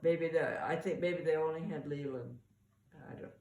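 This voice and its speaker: A woman's voice: low-pitched, moderate pace, darkish tone. An older woman shares family memories in a casual, conversational way, often restarting as she recalls details. She is recorded at a distance in an echoey room, with faint noise behind her. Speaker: mother sharing family memories. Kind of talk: casual oral-history reminiscence.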